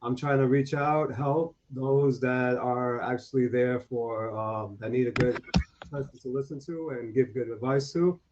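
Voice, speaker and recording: A man's voice: medium pitch, fairly even intonation, unhurried, a little mellow, a little hiss or hum. A man with a New York accent chatting casually as a guest on a live stream show. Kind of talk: casual chat. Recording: dull lo-fi streaming audio.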